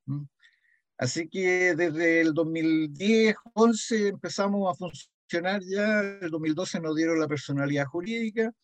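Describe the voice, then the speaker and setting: An older man with a Chilean accent reminisces in a conversational interview, pausing and repeating words, often adding a short questioning "mm". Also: fairly high pitch, no echo. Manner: hesitant, frequent "¿m?" tags and repeated words. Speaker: interviewee, heritage association founding member.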